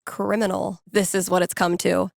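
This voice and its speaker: An actress playing a wry, nerdy young record-store clerk and radio DJ in a video game, muttering to herself in a dry, sardonic, conversational way. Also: female voice, fairly low pitch, level tone, moderate pace, gravelly, dry recording. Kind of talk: naturalistic video game voice acting.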